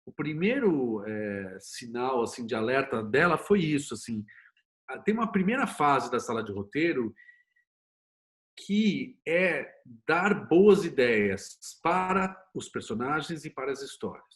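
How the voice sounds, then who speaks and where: A man's voice: mid-range voice, very animated, measured pace. A Brazilian man speaking as an interview guest in a conversational way, telling anecdotes and explaining with examples and rhetorical questions. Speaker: interview guest, series producer and screenwriter.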